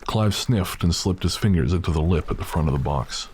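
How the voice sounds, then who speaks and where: Very deep-voiced male storyteller over noise. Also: a little fast, darkish tone. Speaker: narrator. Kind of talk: scripted audio drama narration.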